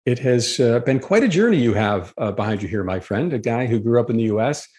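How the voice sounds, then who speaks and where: Lively, fast-talking male podcast host. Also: mid-range voice. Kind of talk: scripted intro then interview chat.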